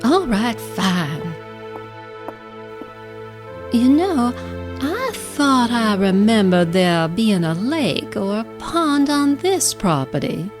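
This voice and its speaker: A woman acts out a weary, quietly bitter middle-aged wife in an audio drama. She speaks slowly and guardedly with wide swings in pitch, over soft music. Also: very animated. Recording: music under the dialogue.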